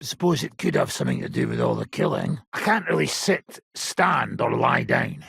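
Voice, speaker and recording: An actor playing a gruff, battle-worn old robber baron in a video game, raspy, blunt, coarse and sardonic. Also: male voice, medium pitch, huge pitch swings, normal speed, a bit bright, dry recording, full character voice. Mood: wry, reminiscing. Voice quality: gravelly, very raspy. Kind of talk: video game character acting.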